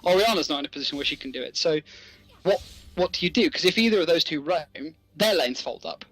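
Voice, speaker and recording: Higher-pitched man casting esports. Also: lively intonation, fast, a bit bright, rough recording. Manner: conversational, explains with rhetorical questions. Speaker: esports commentator. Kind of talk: live play-by-play game commentary with analysis.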